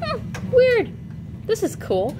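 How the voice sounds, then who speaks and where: An eager, gullible young farm boy , as acted out by a woman storyteller. He talks in casual modern phrases and exclaims readily. It is a homemade storybook telling with clear background noise. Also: extremely high pitch, huge pitch swings, takes it slowly, voice pushed up, full character voice. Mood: curious, awed.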